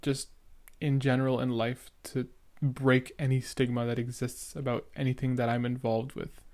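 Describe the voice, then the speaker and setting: A young adult man with a mid-pitched voice speaks casually and candidly, like a podcast host reacting to old footage. He is earnest and a little halting, with occasional stutters and repeated words. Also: flat delivery, a little mellow.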